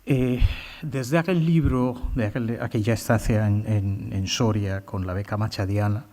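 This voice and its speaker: Male poet, low-pitched, unhurried and halting, recorded with long hall reverb. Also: steady tone, warm tone. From India. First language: Bengali. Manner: slow, halting, many filler sounds. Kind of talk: poetry reading with commentary.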